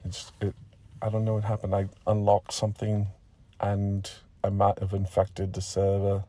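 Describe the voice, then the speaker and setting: Guy as sad sheepish gamer dude, mumbly, keeps repeating himself, lowish voice, story character. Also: completely flat delivery, moderate pace, mellow, close to an everyday voice. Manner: mumbling, repetitive. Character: sheepish washed-up male gamer, self-pitying and defensive.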